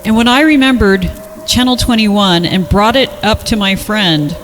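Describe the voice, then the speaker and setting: A person reading a story aloud on a comedy podcast and dropping in deadpan joking asides. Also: medium pitch, normal speed, slight background noise, poor audio. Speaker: podcast reader and commentator. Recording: music behind the voice.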